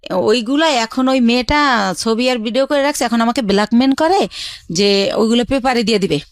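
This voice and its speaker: High-voiced young Bangladeshi Bengali woman telling her story. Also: moderate pace, no echo. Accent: colloquial Bangladeshi Bengali. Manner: retells conversations as reported dialogue, line by line. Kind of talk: personal storytelling in conversation.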